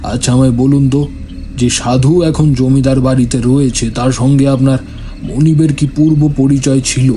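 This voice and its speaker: A man's voice: medium pitch, steady tone, moderate pace, mellow, slight background noise, close to an everyday voice. A man playing a grave, solemn village priest and occult healer in an audio horror drama. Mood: solemn, warning.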